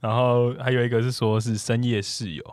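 A man's voice: mid-range voice, flat delivery, a little fast, a little mellow, dry recording. A man with a Taiwanese Mandarin accent co-hosting a casual podcast in a laid-back way, often with short remarks. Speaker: podcast co-host. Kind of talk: casual chat podcast, reading listener replies.

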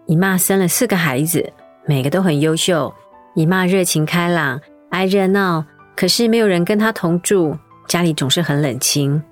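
A woman's voice: lowish voice, close to an everyday voice. An actress playing a kind, patient middle-aged mother who tells her daughter a family story and looks after an elderly aunt. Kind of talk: radio drama acting.

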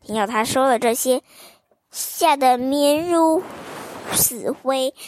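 A young woman reading a fairy tale aloud to children in a gentle, sing-song, child-directed manner. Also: high voice, takes it slowly. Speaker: children's storyteller host.

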